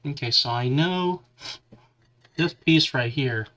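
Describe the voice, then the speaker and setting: Man, livestream host, crisp bright tone, lingering cough, stuffy nose, halting chat while building at a workbench. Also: mid-range voice. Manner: chatty and halting, talking while busy with his hands.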